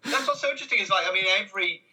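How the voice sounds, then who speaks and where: A man's voice: high voice, animated, racing, sharp tone, a little hiss or hum, rough recording. A man talking casually as an interview guest, in a hesitant, rambling way, often breaking off and restarting his sentences. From Britain. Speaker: interview guest, comedy writer.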